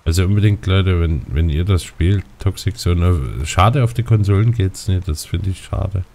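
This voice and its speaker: A man's voice: sits low, normal speed, gravelly. A man casually chatting while playing a game with a friend and talking to viewers, a bit stuffy-nosed. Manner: relaxed, talks to viewers. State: stuffy nose. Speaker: let's play host. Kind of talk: casual gaming chat.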